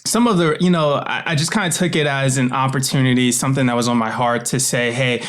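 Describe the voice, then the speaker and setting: A man with an American accent talking reflectively and casually as an interview guest, pausing to search for words. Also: mid-range voice. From United States. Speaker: interview guest, author and voice actor.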